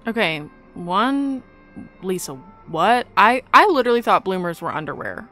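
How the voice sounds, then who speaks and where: Young female gaming YouTuber: chatty, playfully incredulous, mid-pitched with lively swings, casual. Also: moderate pace. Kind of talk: casual gameplay commentary. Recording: game music behind the voice.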